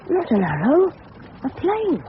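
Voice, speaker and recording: Earnest polite english schoolboy, old radio play, muffled and noisy. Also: male voice, extremely high pitch, wildly swooping pitch, measured pace, heavily acted. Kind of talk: 1970s radio drama acting.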